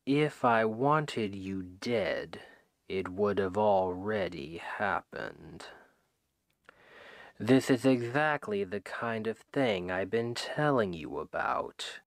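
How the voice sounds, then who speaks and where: Slow, gravelly demon king acted by a man. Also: lowish voice, animated. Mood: offended, exasperated. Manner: slow, deliberate, persuasive, condescending. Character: male demon king, smooth-talking, manipulative, self-justifying captor. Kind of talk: ASMR roleplay, scripted dramatic monologue. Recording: long hall-like reverb.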